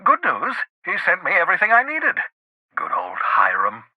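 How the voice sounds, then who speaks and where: An actor playing an eccentric, excitable fugitive scientist in a video game, an idealistic schemer who speaks in a theatrical, articulate and emphatic way. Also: male voice, high-pitched, normal speed, husky, no room sound, muffled recording, exaggerated voice. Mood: scheming, animated. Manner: theatrical, articulate, emphatic, swinging between fervour and muttered asides. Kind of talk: video game character dialogue.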